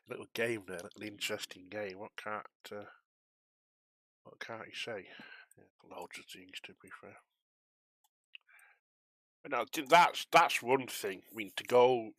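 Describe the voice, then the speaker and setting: Low, bright-voiced man chatting casually. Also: no room sound. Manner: chatty, rambling, self-deprecating. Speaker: streamer, volunteer air traffic controller on a flight simulation network. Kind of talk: casual chat with viewers while controlling.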